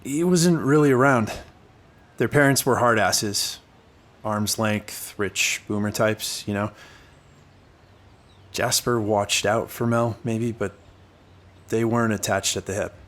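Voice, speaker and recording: Cagey, sardonic informant. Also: male voice, on the low side, takes it slowly, pauses often, fairly bright tone. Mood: guarded, wistful. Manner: guarded, clipped, needling. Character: evasive, cagey, sardonic male informant in his thirties. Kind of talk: naturalistic audio drama dialogue.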